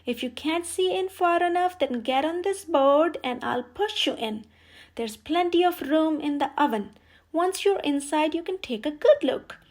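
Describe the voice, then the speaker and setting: A wicked old witch from a children's fairy tale, acted out by a woman storyteller. The voice is raised high and pushed loud. She is sly, bossy and menacing, ordering and coaxing as she schemes. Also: expressive, measured pace. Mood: bossy, scheming. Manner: commanding, scolding, falsely sweet when coaxing.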